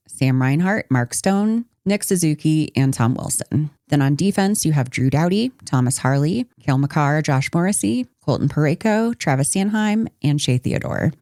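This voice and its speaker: A woman with a low voice, slightly raspy from a winter cold, chats casually as a podcast co-host. Her delivery is wry and self-deprecating, recorded close and dry. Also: dark tone, dry recording. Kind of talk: casual hockey podcast chat.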